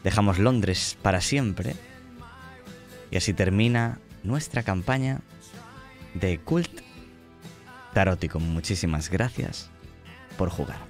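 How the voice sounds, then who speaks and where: A man's voice: sits low, slow, long pauses. A man acting as game master, closing a tabletop campaign and thanking his players and viewers. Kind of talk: narrating and thanking players on a live stream. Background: faint music.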